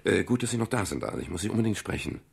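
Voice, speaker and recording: A man's voice: deep voice, sing-song, very fast, vocal fry, darkish tone, breathy edge, exaggerated voice. An actor playing a sardonic private detective who narrates his case in a dry, laconic, brusque way in a German radio crime drama. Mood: urgent, confident.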